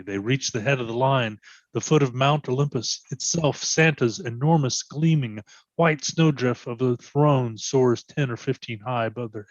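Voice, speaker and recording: Low-voiced man reading stage directions, dry sound. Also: dry recording, muffled recording. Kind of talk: scripted narration, readers theatre.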